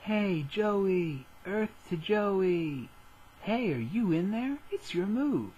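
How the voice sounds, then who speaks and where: A naive little cartoon schoolboy, put on by a man, eager and childlike. The silly voice is high and swings up and down, with a husky edge. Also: lively intonation, slow, darkish tone, lo-fi sound, exaggerated voice. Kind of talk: parody anime dub.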